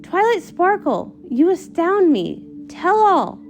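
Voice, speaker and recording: A woman's voice: extremely high pitch, big pitch swings, slow pace, pitched above the performer's own voice, heavily acted. A woman voicing a grand royal female pony in a comic read-along, formal, pompous and declamatory, over music. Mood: impressed. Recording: music under the voice.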